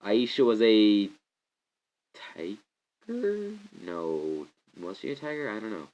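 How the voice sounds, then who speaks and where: A young man with a stuffy, congested voice gives a rambling, excitable movie review, often restarting his sentences. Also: fairly low pitch, slow. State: congested from a cold. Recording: slightly muffled lo-fi recording.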